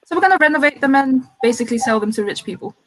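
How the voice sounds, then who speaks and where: A woman's voice: high-pitched, expressive, very fast, poor audio. A woman in her twenties from Greece, a guest chatting casually and laughing often. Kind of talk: casual chat.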